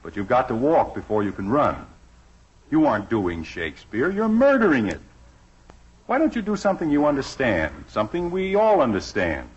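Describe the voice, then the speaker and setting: A man's voice: mid-range voice, huge pitch swings, normal speed, breathy edge. An actor playing an exasperated, hammy middle-aged suburban father with an American accent in 1950s radio sitcom style. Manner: theatrical, emphatic. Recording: muffled vintage radio recording.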